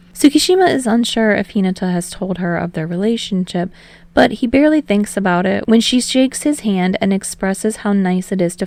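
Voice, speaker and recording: A woman's voice: mid-range voice, gravelly, a little mellow, dry recording. A woman reading scripted fiction aloud as an audiobook narrator.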